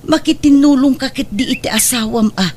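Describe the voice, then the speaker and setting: A woman's voice: high-pitched, brisk, a little mellow, natural voice. A woman acting an exasperated but fond older mother in an audio drama, with an Ilocano Filipino accent. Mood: chiding, consoling.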